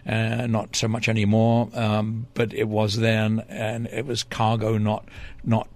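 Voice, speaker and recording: An older man with a low, gravelly voice tells long stories at an easy pace. He wanders through his memories, stopping and restarting often, filling the gaps with "uh" and "um". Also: completely flat delivery, measured pace, a little mellow. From England. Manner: rambling, hesitant, with many fillers and restarts. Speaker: interview guest, former TV reporter. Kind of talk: relaxed storytelling in an interview.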